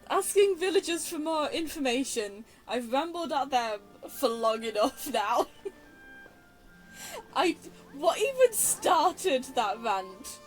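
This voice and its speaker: A woman's voice: sits high, sing-song, slow, faint music behind, poor audio. A chatty young woman giving casual, digressive, self-deprecating game commentary. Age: young adult. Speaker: game streamer.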